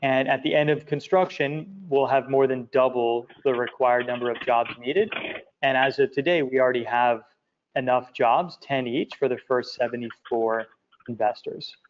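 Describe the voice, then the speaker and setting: A man walking through presentation slides for an investment project, talking in a halting way with many fillers and repeated words. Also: mid-range voice, fairly even intonation, a little hiss or hum. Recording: muffled lo-fi audio.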